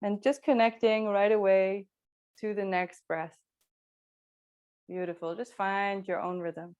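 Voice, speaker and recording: Female yoga instructor, mid-pitched, calm and unhurried, gently cueing breath and movement. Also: smooth, dull audio. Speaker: yoga teacher.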